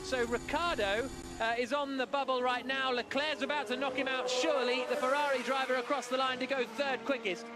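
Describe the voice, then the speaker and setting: A man's voice: very high-pitched, steady tone, brisk. A man giving live motor racing commentary, with race cars and track ambience behind him.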